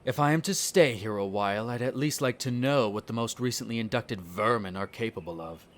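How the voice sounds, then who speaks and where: A man's voice: fairly low pitch, a little fast, a bit bright, slight background noise, lightly acted. A man playing a smug, cruel vampire noble in an audio roleplay, speaking in a haughty, condescending, formal drawl. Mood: haughty, musing. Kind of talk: scripted audio roleplay acting.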